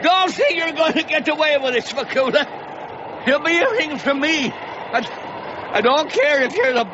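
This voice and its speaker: An angry, bruised village man in an old radio play. His voice sits very high and lurches up and down as he blusters and threatens. Outdoor noise sounds clearly behind a dull recording. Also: lively intonation, unhurried. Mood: furious. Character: bruised, furious middle-aged village man. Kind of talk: 1990s radio drama acting.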